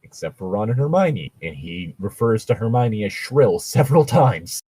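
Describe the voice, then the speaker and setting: Man, husky voice, very dry close sound, casual commentary, opinionated, argumentative, sweary. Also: fairly low pitch, big pitch swings, moderate pace, dark tone, no echo. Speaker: co-host reading and reacting to fan fiction. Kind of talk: casual commentary chat with readings.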